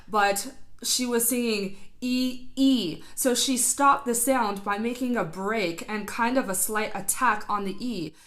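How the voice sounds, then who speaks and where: A woman's voice: mid-range voice, fairly bright tone. A woman giving casual, enthusiastic reaction commentary as a vocal coach, breaking down a singer's technique and thinking aloud with fillers. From not Filipino; studied singing.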